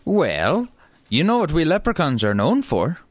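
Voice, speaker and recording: A man's voice: on the high side, wildly swooping pitch, unhurried, a little hiss or hum. A man voicing an earnest, scheming leprechaun who argues eagerly in a comic radio play. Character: earnest, scheming male leprechaun council member.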